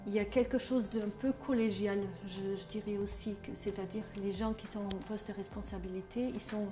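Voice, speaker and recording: A woman's voice: mid-range voice, steady tone, brisk, echoey room, music in the background. A middle-aged woman from Germany speaking with a German accent, talking hesitantly with many fillers and restarts in a testimonial interview as a trainer. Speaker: trainer at a social work school.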